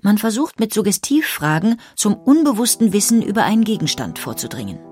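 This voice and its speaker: A woman reading scripted biographical narration in a measured, polished storytelling manner. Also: mid-range voice, big pitch swings, a little mellow, soft background music.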